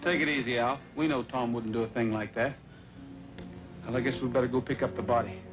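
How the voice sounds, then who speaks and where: An actor playing a calm, steady cowboy hero in an old-time western drama. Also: male voice, medium pitch, brisk, lightly acted. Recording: dull vintage soundtrack with music behind.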